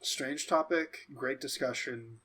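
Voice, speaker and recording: A man with a mid-pitched voice talks at an unhurried, slow pace. He sounds like a podcast co-host in a casual discussion of pop culture. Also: fairly bright tone, breathy edge.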